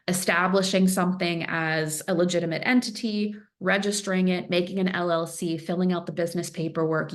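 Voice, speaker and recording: Earnest woman presenting a cyber briefing. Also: fairly low pitch. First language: Farsi speaker. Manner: earnest, emphatic, repeats phrases for stress. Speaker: threat intelligence analyst presenting. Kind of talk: webinar presentation.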